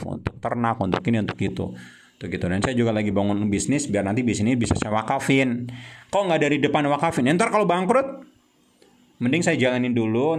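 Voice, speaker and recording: A man's voice: on the low side, slight background noise. A man in his thirties giving a heated motivational talk as founder of a youth religious movement, mixing in English terms and Arabic phrases.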